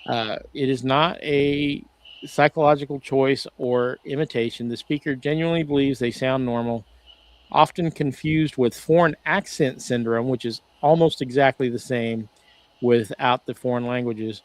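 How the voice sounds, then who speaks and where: A man's voice: medium pitch, steady tone, dry recording. A man in the middle of his range co-hosting a podcast from home over a video call. He rambles and restarts his sentences often. The sound is dry and close, with no room around it. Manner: rambling, halting, frequent "uh" restarts. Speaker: podcast co-host. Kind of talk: casual podcast discussion.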